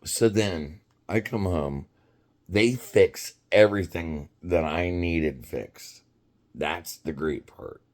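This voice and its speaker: A man in his fifties tells a story in an unhurried, very deep voice. He rambles and stops to restart sentences, and laughter keeps slipping into his talk. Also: darkish tone. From United States. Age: 50s. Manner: rambling, halting, quick to laugh. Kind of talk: casual storytelling.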